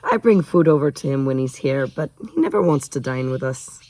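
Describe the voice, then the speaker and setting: A narrator plays a motherly housekeeper from a storybook. She is affectionate and polite, fussing over the young ones with gentle scolding. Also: medium pitch, animated, brisk, no echo, lightly acted, poor audio. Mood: matter-of-fact.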